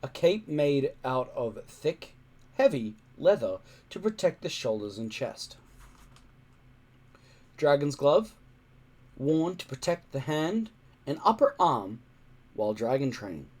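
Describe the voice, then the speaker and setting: A man reading a children's adventure story aloud in expressive narration. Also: medium pitch, takes it slowly, pauses often. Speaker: reader.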